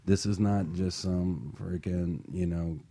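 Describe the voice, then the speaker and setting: Male talk host, low mellow voice, earnest motivational delivery. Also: steady tone, slow. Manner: earnest, passionate, repeats words when starting.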